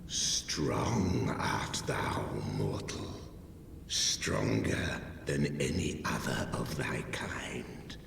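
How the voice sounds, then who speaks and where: An ancient dragon speaks in an extremely deep, slow rumble. His archaic words are grave and proud, swelling and sinking in a fantasy game style. The voice rolls through a long cavernous reverb. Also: very animated, creaky, heavily acted. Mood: solemn respect. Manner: archaic, stately, portentous speech. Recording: cavernous echo, distant, noisy ambience.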